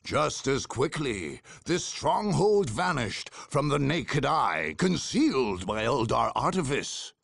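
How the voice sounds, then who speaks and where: Slow, theatrical war chronicler, clear male voice. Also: mid-range voice, sing-song, a bit bright, no room sound, full character voice. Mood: solemn, portentous. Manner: grave, theatrical, deliberate. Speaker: narrator. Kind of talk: dramatic scripted game narration.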